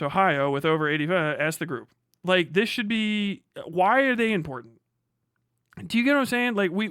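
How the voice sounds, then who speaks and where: A man's voice: high-pitched, bright, forceful tone, dry recording, clean studio sound. An American man livestreaming a casual reaction, reading aloud and breaking off into incredulous, sweary asides. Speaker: livestream host.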